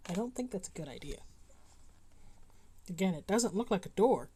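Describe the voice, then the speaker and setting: A woman's voice: mid-range voice, lively intonation, moderate pace, mellow. A woman giving casual, understated, bemused commentary as she streams a video game. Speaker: live streamer. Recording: faint noise, game audio behind. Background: video game sound.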